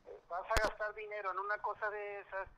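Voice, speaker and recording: A man's voice: high voice, little pitch movement, brisk, very noisy. A middle-aged man, talking casually as a father over a tinny telephone line. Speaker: streamer's father. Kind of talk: casual phone conversation.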